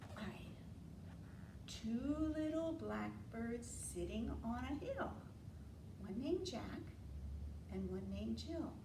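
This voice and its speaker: An American woman leading an interactive storytime for preschoolers, asking simple questions and drawing out key words in an animated way. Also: on the high side, slow, gravelly, long pauses. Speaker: children's storytime librarian. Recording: roomy, distant mic, faint room noise.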